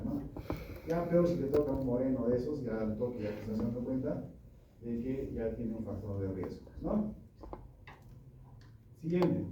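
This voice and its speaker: A man's voice: medium pitch, lo-fi sound. A man giving an informal medical lecture, talking conversationally and often checking in with his listeners. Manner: conversational, keeps asking "¿no?" and "¿ya?". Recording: echoey room from far off, noisy.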